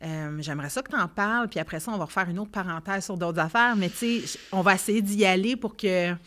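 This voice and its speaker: A woman with a Quebec French accent hosting a podcast, gently asking questions and prompting her guest supportively. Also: mid-range voice, brisk. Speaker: podcast host. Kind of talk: interview conversation.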